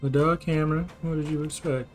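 A young man casually commenting as he plays a video game, musing quietly to himself. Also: steady tone, a little fast, music in the background. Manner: mumbled, low-key musing to himself. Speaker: gaming streamer. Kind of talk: relaxed let's-play commentary.

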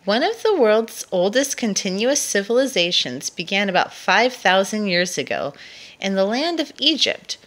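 A woman reading a children's nonfiction book aloud to a child in a measured storytelling delivery. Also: mid-range voice, sing-song, fairly bright tone.

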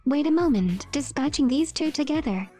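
High-voiced woman reading memes. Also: animated, moderate pace, dark tone. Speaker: meme video narrator. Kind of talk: expressive scripted voice-over reading memes and comics. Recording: music behind the voice.

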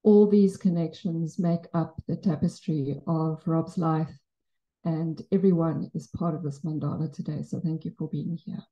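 A middle-aged South African woman with an Afrikaans accent gives a spoken tribute into a handheld mic in a shrine room. Her voice sits low, and she talks in a reflective way with touches of gentle humor. The recording sounds dull and muffled, caught by a far camera. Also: steady tone, unhurried. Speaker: memorial speaker. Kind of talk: personal tribute speech. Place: Buddhist center shrine room, indoors.

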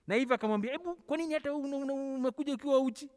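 A Kenyan man tells a Bible story to children in a high-pitched voice. He performs it theatrically, acting out the dialogue and mixing languages casually. His voice rings through a church hall with long reverb. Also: very fast. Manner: code-switches casually between languages. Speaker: church school teacher. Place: large church hall, on stage. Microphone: handheld microphone, held close over face mask.

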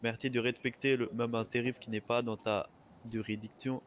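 A man improvises as a police officer in game role-play, his voice sitting at a middle pitch. He speaks in polite procedural phrases but fumbles and hesitates, with light noise on the voice chat. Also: flat delivery, normal speed. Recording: noisy gaming voice chat.

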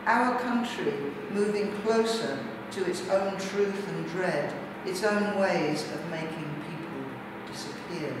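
A middle-aged woman with a British accent, a poet speaking to an audience in deliberate, measured phrases. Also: on the low side. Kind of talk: poetry reading and reflective talk to an audience. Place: large bare brick-walled gallery room, indoors. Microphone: distant camera microphone. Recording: very echoey, noisy gallery hall, distant mic.

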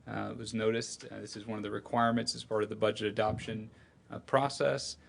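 An American man presents to a public meeting in a creaky, fairly flat voice. His delivery is halting and official, with frequent hesitations. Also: on the low side. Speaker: budget presenter at a public hearing. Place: indoor public meeting room.

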